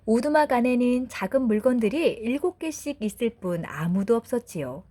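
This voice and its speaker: Casting: woman storyteller, gentle and deliberate, high-ish pitch, lively melodic range, children's bedtime narration. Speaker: storyteller mother. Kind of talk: expressive bedtime fairy-tale narration.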